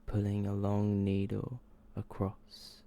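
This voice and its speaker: Deep, hushed ASMR man reading horror. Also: completely flat delivery, slow, gravelly. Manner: hushed, soft-spoken, often slipping toward whisper. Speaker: ASMR host reading short horror stories. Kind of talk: ASMR scripted narration.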